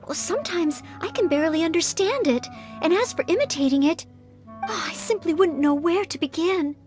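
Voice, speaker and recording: Very high-voiced earnest young maid-knight girl. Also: lively intonation, normal speed, darkish tone, faint music behind. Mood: thoughtful, earnest. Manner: earnest, politely formal, hesitant. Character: earnest, polite, self-doubting teenage maid-knight girl. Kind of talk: English video game voice acting.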